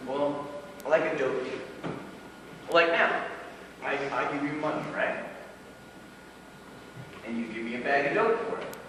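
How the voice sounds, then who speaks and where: A man's voice: a little high, lively intonation, measured pace, long pauses. Actor as rambling druggie man, echoey distant stage. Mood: teasing, curious. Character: rambling paranoid drug user, teasing and smitten man. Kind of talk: live stage play acting. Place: small theatre stage, recorded from a distance. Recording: echoey, noisy amateur theatre recording from afar.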